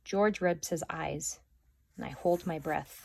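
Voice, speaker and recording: A teacher reading a children's novel aloud, narrating expressively. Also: female voice, sits low, takes it slowly, gravelly, darkish tone, a little hiss or hum. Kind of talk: storybook read-aloud.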